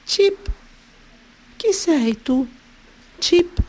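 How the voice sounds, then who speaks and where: A tiny, hurt little sparrow in a high, small and gentle voice. He is meek and speaks in short bits, with a soft chirp after each one. He sounds fragile and polite. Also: expressive, extremely slow, full character voice. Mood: timid. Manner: soft chirps between phrases. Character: tiny injured young sparrow, timid and sweet.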